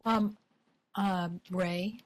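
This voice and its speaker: A woman's voice: mid-range voice, fairly even intonation, drawn-out words. A woman in a reading group speaking in a hesitant, polite way. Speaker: cast member in a reading group. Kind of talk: casual group chat.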